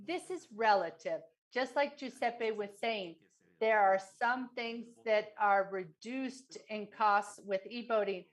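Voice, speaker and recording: A woman with a somewhat high voice interprets a formal forum speech. She goes very slowly, setting the content out in short, measured phrases with halting breaks between them.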